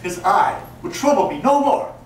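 An older actor playing a boastful, cunning madman in a theatrical live recitation of gothic horror, melodramatic and emphatic, with deliberate phrasing and sudden swells. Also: sits high, very animated, far from the mic. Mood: grim, intense. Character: gleeful mad murderer, male, boastful, cunning, nervy. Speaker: actor giving a dramatic reading. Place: small brick-walled cafe room, indoors. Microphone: camera a few metres away. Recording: echoey, noisy handheld camera from audience.

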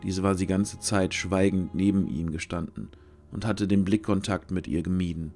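A man's voice: low-pitched, fairly even intonation, normal speed, a little mellow, soft background music. A man narrating a scripted fantasy story for an audio drama.

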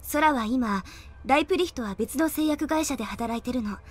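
High-voiced, confident woman doctor. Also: normal speed. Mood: reassuring. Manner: casual, assured, expressive. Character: confident, reassuring female doctor-scientist, brisk and friendly. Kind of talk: Japanese anime audio drama acting.

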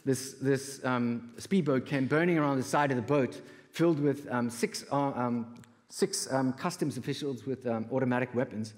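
Middle-aged man, South African accent, conference speaker, storytelling with pauses, medium pitch, big echoing auditorium. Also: darkish tone. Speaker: conference speaker, creative entrepreneur. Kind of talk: live stage talk, personal storytelling. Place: large indoor auditorium stage. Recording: reverberant auditorium hall.

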